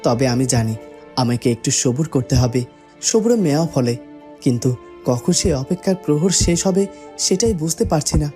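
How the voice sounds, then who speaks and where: A man's voice: fairly high pitch, expressive, normal speed, dark tone, faint music behind, natural voice. A man voicing a lovesick young cartoon prince who muses dreamily to himself with small stammers. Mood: longing, pensive. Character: lovesick young prince longing for his bride. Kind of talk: cartoon voice acting, romantic monologue.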